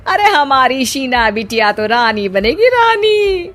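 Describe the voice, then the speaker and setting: A woman voicing a smug, gossipy middle-aged aunt in a cartoon story, gushing and boasting in a drawn-out way. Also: high-pitched, very animated, background noise, pitched above the performer's own voice, exaggerated voice.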